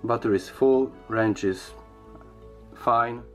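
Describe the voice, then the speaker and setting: A man giving a casual unboxing and product review in a halting, hesitant way, often repeating words. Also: medium pitch, music in the background.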